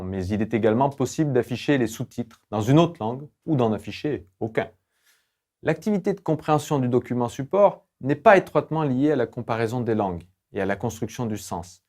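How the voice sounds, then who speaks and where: Male e-learning narrator, medium pitch, formal and didactic, measured scripted delivery. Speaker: online course lecturer.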